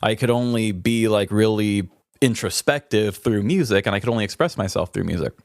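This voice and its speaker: A man's voice: fairly low pitch, brisk, creaky, dry recording, clean studio sound. A man co-hosting a podcast, chatting casually in a candid, introspective and wordy way. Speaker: podcast co-host. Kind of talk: casual reflective podcast chat.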